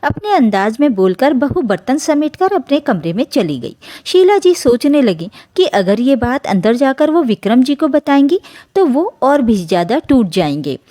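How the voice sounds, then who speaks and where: Female narrator for emotional family tales: higher-pitched, very animated intonation, soft mellow tone, scripted and dramatic. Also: normal speed, no echo. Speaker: story narrator.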